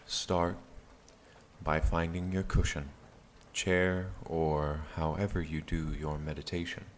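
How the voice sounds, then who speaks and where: Deep, slow-speaking man guiding meditation. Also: a little hiss or hum. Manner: calm, soothing, gentle phrasing with long pauses. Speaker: guided meditation teacher. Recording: steady rain sound behind the voice. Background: rain backtrack.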